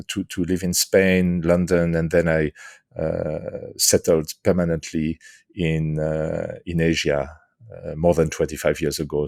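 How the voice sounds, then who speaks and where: A middle-aged man from France with a French accent, answering interview questions thoughtfully with many pauses and restarts. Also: a bass voice, gravelly, no room sound, studio quality. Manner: hesitant, many fillers and restarts. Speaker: guest, author and leadership coach.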